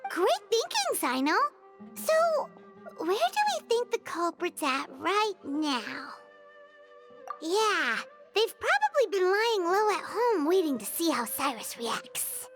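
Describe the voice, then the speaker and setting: A woman voicing a small, childlike, excitable female companion creature in a video game, with a squeaky voice. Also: slow pace, soft background music, heavily acted. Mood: excited.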